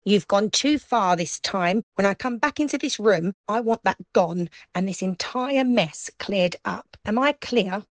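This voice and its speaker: A woman acts out a stern landlady who has run out of patience, in an audio play. Her mid-pitched voice scolds briskly, and the sound is dry with no room echo. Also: lively intonation. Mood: appalled, scolding. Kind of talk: audio drama acting.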